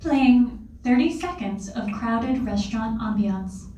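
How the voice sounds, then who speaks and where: A woman voicing a cool, blunt smart-speaker assistant, measured and matter-of-fact, in a live comedy table read. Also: on the high side, expressive, warm tone. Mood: cool, detached. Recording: echoey room, distant mic, faint noise.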